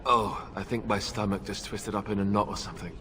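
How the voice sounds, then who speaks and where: An actor playing a reluctant young warrior newly made king in a video game, halting, earnest and self-deprecating. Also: on the low side, fairly even intonation, noisy background, natural voice. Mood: uneasy, hesitant. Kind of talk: video game cutscene acting.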